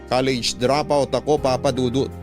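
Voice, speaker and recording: A man playing an earnest, humble narrator recounting his youth in measured, scripted Filipino radio drama style. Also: medium pitch, fairly even intonation, over music, lightly acted. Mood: reflective, wistful.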